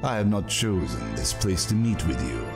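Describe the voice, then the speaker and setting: A man playing an arrogant old super-soldier mad scientist in a dramatic reading, speaking in a measured, formal, pompous way. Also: deep voice, big pitch swings, gravelly, over music, full character voice. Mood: cool, courteous. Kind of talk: dramatic audiobook-style reading.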